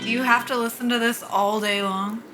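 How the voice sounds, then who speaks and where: A woman's voice: a little high, steady tone, brisk, over music, close to an everyday voice. A woman playing a self-mocking soldier and job candidate in an audio drama, joking and sardonic in a casual way. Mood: wry, nervous. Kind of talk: naturalistic audio drama dialogue.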